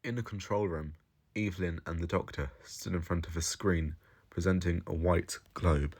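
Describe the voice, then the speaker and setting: A man with a low voice narrates a short audio story. He reads scripted prose as the storyteller. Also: steady tone, moderate pace, breathy edge. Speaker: story reader.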